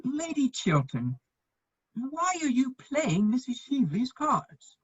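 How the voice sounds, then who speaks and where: A man playing a witty, loyal gentleman bachelor with a husky voice, declaiming earnestly in a theatrical reading of a period comedy. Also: very high voice, big pitch swings, slow pace, no echo, muffled recording, full character voice. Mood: urgent, pleading.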